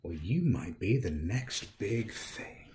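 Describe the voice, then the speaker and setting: Animated American man reading to kids. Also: on the low side, slow, a little hiss or hum. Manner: animated, theatrical, talks directly to young listeners. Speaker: library storytime host reading aloud. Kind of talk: children's picture book read-aloud. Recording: roomy, echoey indoor sound.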